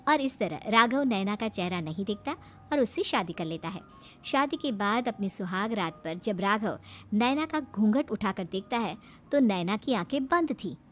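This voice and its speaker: A woman's voice: mid-range voice, animated, moderate pace, fairly bright tone. A woman narrating a scripted story as a storyteller. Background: faint music.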